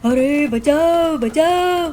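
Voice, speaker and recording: A woman's voice: high-pitched, extremely slow, background noise. Woman voicing a pushy, scheming male crow. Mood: panicked. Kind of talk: Hindi children's cartoon dialogue.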